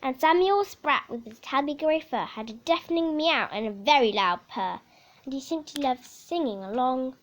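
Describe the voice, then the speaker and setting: A woman reading a children's picture book aloud in a theatrical, measured way, with dramatic emphasis. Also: high voice, expressive. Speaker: story reader.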